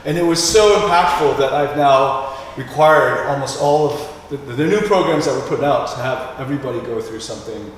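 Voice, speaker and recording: A man hosting a conversational interview, speaking haltingly as he searches for his words. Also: medium pitch. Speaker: show host and life coach. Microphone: distant from the speaker. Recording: very echoey hall, distant mic, faint noise.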